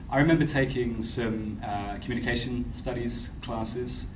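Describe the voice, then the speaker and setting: A man's voice: mid-range voice, normal speed. A man in his thirties on an alumni panel, thoughtfully answering a question. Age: 30s. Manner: reflective, thoughtful. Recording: roomy hall, distant mic, background noise.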